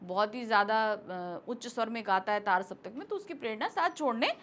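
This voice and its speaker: A woman's voice: fairly high pitch, lively intonation, brisk, a bit bright. A woman teacher goes through exam questions, patiently asking and answering her own questions. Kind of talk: exam question walkthrough lesson.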